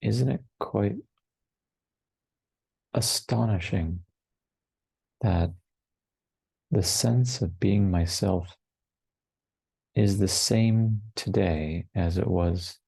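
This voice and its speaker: Slow, low-voiced man guiding meditation. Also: vocal fry, breathy edge, no echo. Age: 40s. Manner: hushed, unhurried, long pauses between short phrases. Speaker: meditation teacher. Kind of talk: guided meditation. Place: online retreat over video call, dry close sound.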